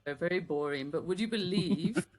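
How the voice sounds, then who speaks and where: A woman in her fifties with a softened Yorkshire accent and a low, steady voice. She talks as an interview guest, chatty and reflective, pausing often on ums. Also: soft background music. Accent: Yorkshire English softened by South African years. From Yorkshire, England. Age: 50s. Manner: warm, chatty, thoughtful with frequent ums and light laughter. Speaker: guest, business coach. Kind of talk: casual interview chat.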